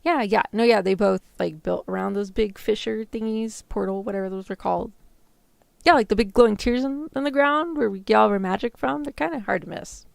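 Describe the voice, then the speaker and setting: A woman streamer talks in a loose, casual way with plenty of filler words. Her voice sits at a middle pitch with noticeable vocal fry. The sound is close and very dry. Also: a little fast, no echo. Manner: casual, loose, filler-heavy speech with frequent "like". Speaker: VTuber streamer practicing ASMR roleplay. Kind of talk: casual livestream chat, roleplay script practice.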